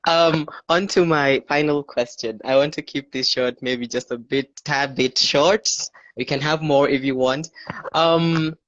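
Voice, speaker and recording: A man's voice: fairly high pitch, steady tone, measured pace, a bit bright, no echo, lo-fi sound. A man hosting an interview, asking questions in a chatty, loose way with frequent laughs and backchannel "mm-hmm"s. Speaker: interview host. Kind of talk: casual interview chat.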